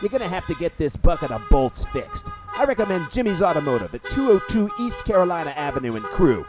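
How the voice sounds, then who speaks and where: A man's voice: on the high side, very animated, quick pace, over music. A man playing a brusque, unimpressed small-town policeman in a comic radio advertisement.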